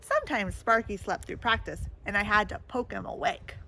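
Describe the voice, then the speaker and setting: A woman reading a children's picture book aloud in an animated way, pausing to put questions and little comments to young listeners. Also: mid-range voice, vocal fry. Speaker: storybook reader.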